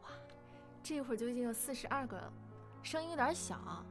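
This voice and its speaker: A woman hosting a live interview stream in a chatty, openly excited and nervous manner. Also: on the high side, fairly quick. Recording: music playing behind the voice. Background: music.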